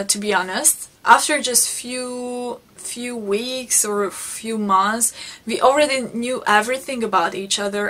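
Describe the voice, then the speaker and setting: A young Hungarian woman with a Hungarian accent, telling her life story in English for her vlog, reflective and unhurried. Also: on the high side, fairly bright tone. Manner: unhurried, reflective, frequent restarts. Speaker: vlogger.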